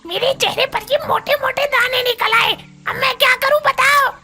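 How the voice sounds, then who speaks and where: A woman's voice: extremely high pitch, steady tone, normal speed, bright, forceful tone, faint music behind. A woman voicing an indignant, plaintive female bird customer in a cartoon. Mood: angry complaint.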